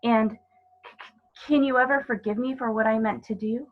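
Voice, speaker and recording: A woman's voice: on the high side, steady tone, moderate pace, muffled recording, pitched above the performer's own voice, lightly acted. A woman voicing a timid, guilt-ridden male faun in a children's storybook, tearful, pleading and melodramatic. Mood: apologetic, tender.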